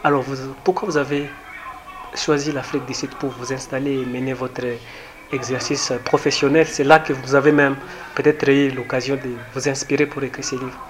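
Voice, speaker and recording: A man's voice: medium pitch, flat delivery, normal speed, noisy background. A man hosting a radio interview, asking questions in a formal, polite manner. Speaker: radio host.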